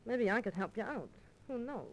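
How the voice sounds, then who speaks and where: Coy scheming flirt, highish animated voice, 1930s radio comedy disc. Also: female voice, normal speed, low-quality recording. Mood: coy, flirtatious. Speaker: comedy sketch performer.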